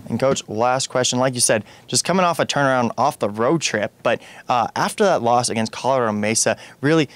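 A man's voice: mid-range voice, brisk. A young man working as a reporter, asking questions in a postgame sports interview on a handheld microphone. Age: young adult. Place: indoors in front of a media backdrop.

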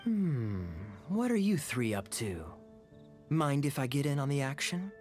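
A man playing a suave young rogue in an anime game, smooth-talking, sly and teasing. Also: on the high side, animated, unhurried, a little mellow. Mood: amused, teasing. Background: music.

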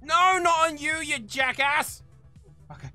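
High-pitched, piercingly bright male game streamer. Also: a little slow. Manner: exclaiming, theatrical, addressing chat. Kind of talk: excitable gameplay commentary. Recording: game music behind.

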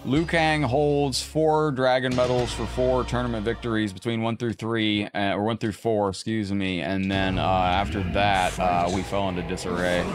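A man hosting a live gaming stream, chatting loosely with viewers and rambling between thoughts, his throat going hoarse. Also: fairly low pitch, little pitch movement, moderate pace, hardly any pauses. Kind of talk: casual live-stream chat while gaming. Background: loud game music.

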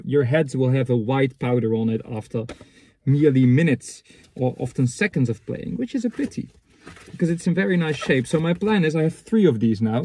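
A man in his thirties chatting casually and unscripted in a halting, matter-of-fact way as he thinks aloud, recorded inside a parked car. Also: mid-range voice, mellow, slightly rough. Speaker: vlogger reviewing secondhand reel-to-reel tapes. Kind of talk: casual unscripted chat, commenting on items as he handles them.

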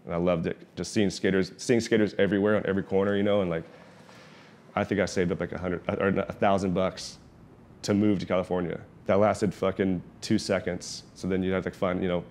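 A man's voice: low voice, darkish tone, a little hiss or hum. A middle-aged man from Oklahoma City whose first language was Vietnamese, telling his skating story in a loose, laid-back way, full of "like" and swearing. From Oklahoma City, USA. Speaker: professional skater, interviewee. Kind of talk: casual interview storytelling. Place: his restaurant.

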